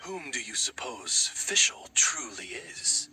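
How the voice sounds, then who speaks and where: A man's voice: medium pitch, very animated, low-quality recording. A man voicing a devoted, wise raven companion in an anime game, speaking in a formal, eloquent, courtly manner. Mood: reassuring. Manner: formal, eloquent, deliberate addresses. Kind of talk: scripted anime game voice acting. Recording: music behind the voice.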